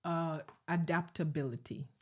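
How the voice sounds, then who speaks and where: A middle-aged woman with a Ugandan accent, a guest recalling her past in a measured, reflective way with soft laughs. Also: low-pitched, slightly rough. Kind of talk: conversational interview storytelling.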